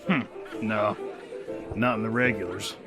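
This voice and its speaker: Actor as a haunted veteran gunslinger narrator, audio drama; slow, gruff, sardonic, music and crowd bed. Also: male voice, fairly low pitch, fairly even intonation, lightly acted. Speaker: narrator and lead.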